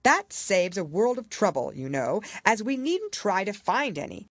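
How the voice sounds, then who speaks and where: A woman's voice: mid-range voice, very animated, a little slow, no echo, studio quality, voice pushed up. A woman voicing a pompous, muddled storybook king who is very pleased with himself, speaking emphatically. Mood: musing. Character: pompous, muddled, self-satisfied storybook king acting as judge.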